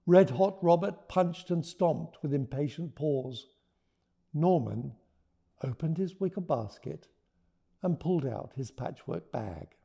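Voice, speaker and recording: A man reading a children's storybook aloud and talking about it, with deliberate, expressive phrasing. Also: fairly high pitch, vocal fry, breathy edge. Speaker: children's author reading his book aloud. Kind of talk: expressive storybook read-aloud with teaching notes.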